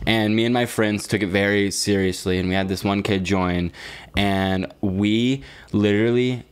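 A man's voice: on the low side, steady tone, moderate pace, clear, resonant tone. A young adult man co-hosting a podcast in casual chat, telling stories with slang, swearing and a teasing manner. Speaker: podcast co-host.

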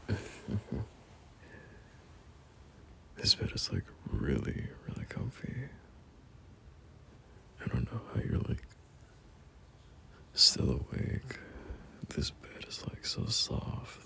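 A man playing a gentle, caring boyfriend at bedtime in an intimate ASMR roleplay, speaking softly in a breathy half-whisper with hesitant pauses. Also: on the low side, big pitch swings, heavily acted. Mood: soothing, concerned. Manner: soft, slow, hesitant, reassuring.

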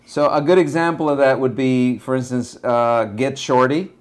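A man in his forties, raised in Argentina with Spanish as his first language, talks calmly and casually at a medium pitch. He speaks slowly and thoughtfully, often pausing with "uh" as he picks his words. He was recorded in a small room indoors by a camera across the table. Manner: relaxed, measured, frequent hesitations. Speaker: director, interview guest. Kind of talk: casual interview talk.

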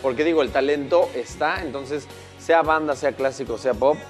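A man's voice: medium pitch, moderate pace. A man in his thirties with a Mexican accent, hosting a casual television interview in a studio. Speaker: TV morning show host. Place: indoor TV studio set. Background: music.

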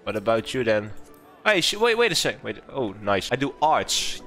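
Lively bright-voiced young man. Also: mid-range voice, soft background music. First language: Dutch. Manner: swears freely, quick amused reactions, frequent laughter. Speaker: streamer. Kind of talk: casual gameplay commentary.

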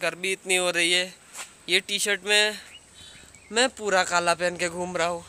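A man's voice: high voice, steady tone, normal speed, bright, forceful tone. A young man teasing his friend with playful swearing outdoors on a road trip. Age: young adult. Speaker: vlogger's friend. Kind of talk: casual banter. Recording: outdoor road and traffic noise.

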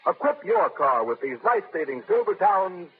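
A man's voice: sits high, big pitch swings, moderate pace, slight background noise. A man reading an emphatic sponsor message as an old-time radio announcer. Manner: emphatic, salesman-like. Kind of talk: 1930s radio ad read. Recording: tinny old radio broadcast recording.

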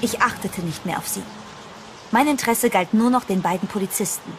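A woman's voice: mid-range voice, fairly quick, natural voice. An actress playing an anxious woman who narrates her own horror story in a dramatic, suspenseful radio play style. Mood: tense, suspenseful. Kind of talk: German horror audio drama, scripted first-person narration. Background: prominent music.

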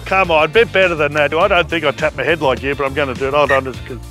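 A middle-aged Australian man hosting casual sideline interviews with jovial, matey banter into a handheld microphone. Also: on the high side. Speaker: roving reporter and host. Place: outdoor sports field. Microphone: handheld reporter microphone. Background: music playing.

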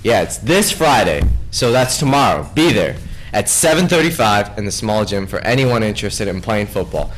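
A man's voice: on the low side, moderate pace, soft background music. A young man anchoring a school announcements broadcast from a small studio desk, playful and jokey. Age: young adult. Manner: playful, jokey, animated with big hand gestures. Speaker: student news anchor.

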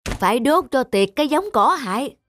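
A man's voice: very high voice, expressive, normal speed, over loud music. A man playing a hard-working, hopeful middle-aged rice farmer in an earnest, plain-spoken cartoon folk-tale style. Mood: pleased, determined.

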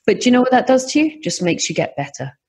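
A middle-aged woman from Scotland with an English accent, telling a podcast host about her property investing in a lively, animated way. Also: a little high, quick pace, slightly rough. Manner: lively, animated storytelling with laughs. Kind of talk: podcast interview.

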